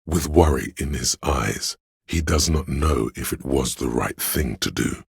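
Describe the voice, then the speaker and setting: Super deep raspy guy narrating a game, slow solemn storytelling, really dry sound. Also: animated, no room sound. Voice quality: gravelly, husky. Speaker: video game voice-over narrator. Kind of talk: scripted literary narration.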